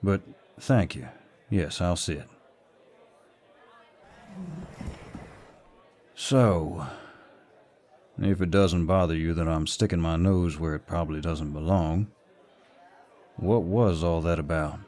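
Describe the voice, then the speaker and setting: Deep, slow-drawling Southern gentleman, acted by a man. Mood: gentle, curious. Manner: polite, drawling, firm, old-fashioned courtesy. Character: protective, courteous, dominant Southern gentleman in a bar. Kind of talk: roleplay audio acting, intimate and scripted.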